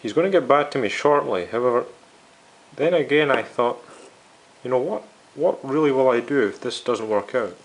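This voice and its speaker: A man's voice: mid-range voice, animated, normal speed, low-quality recording. A man narrating an informal, unscripted hobby video as its host, in a downbeat, hesitant, explanatory way.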